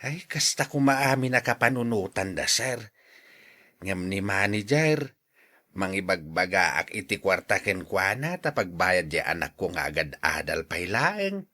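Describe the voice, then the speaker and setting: A man's voice: low voice, very animated, measured pace, pushed and bright, full character voice. A man acting a humble, grateful office janitor and struggling father in an Ilocano audio drama, deferential and plaintive. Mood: weary, plaintive.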